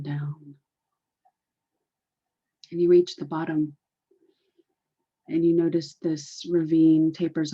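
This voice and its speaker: A woman leading a guided meditation in a deliberate, soothing, repetitive way, on a dull, muffled recording. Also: sits low, mellow, breathy edge.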